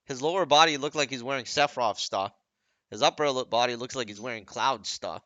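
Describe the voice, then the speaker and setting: Bright, forceful male game commentator. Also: medium pitch, fairly quick, dry recording, highs cut off. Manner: conversational, addresses viewers with questions. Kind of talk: casual running commentary over game cut scenes.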